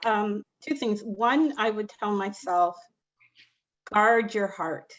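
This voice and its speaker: A woman's voice: medium pitch, slow. A woman speaking as a panellist in an online discussion, giving earnest, emphatic advice. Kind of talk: reflective panel talk. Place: online video call. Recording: dull lo-fi call audio.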